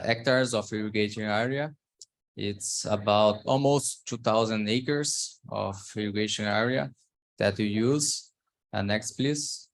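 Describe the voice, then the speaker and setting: A man from Brazil speaking English with a Brazilian Portuguese accent, presenting his farm in a halting manner. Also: on the low side, gravelly. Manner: halting, searching for words in a learned language. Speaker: farmer presenting. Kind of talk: slide presentation on a call.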